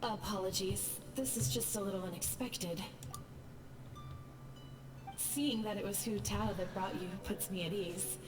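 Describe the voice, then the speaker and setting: A woman voicing a polite, lonely, gentle child ghost boy in a video game. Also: mid-range voice, unhurried, pauses often, recorded from a distance, noisy background, natural voice. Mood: polite, wistful.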